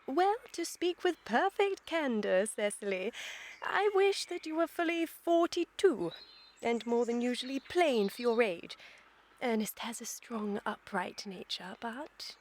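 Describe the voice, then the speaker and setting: Haughty young British lady, high voice. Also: animated, a little slow. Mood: haughty, probing. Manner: haughty, emphatic, mannered. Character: imperious, gushing, self-assured young aristocratic lady. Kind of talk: comic period radio play acting.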